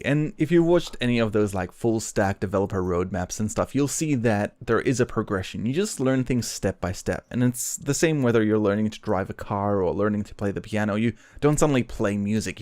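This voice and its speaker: Soft-voiced Australian man, low and dry. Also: no echo. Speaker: live stream host. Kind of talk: casual live stream chat.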